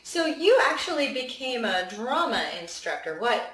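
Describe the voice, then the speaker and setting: A woman with a fairly high voice, hosting an interview with upbeat, joking questions. She sits back from the mic in a roomy studio with faint ambience behind her. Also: sing-song, recorded from a distance. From West Virginia. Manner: bright, upbeat, quick with jokes. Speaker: interview host. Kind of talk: casual interview conversation.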